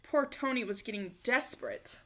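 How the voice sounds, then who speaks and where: Animated, bright-voiced woman reading a picture book. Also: on the high side, moderate pace. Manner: animated, emphatic, acts out dialogue. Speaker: storybook reader. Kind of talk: expressive read-aloud of a children's picture book. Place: small living room, indoors on a couch.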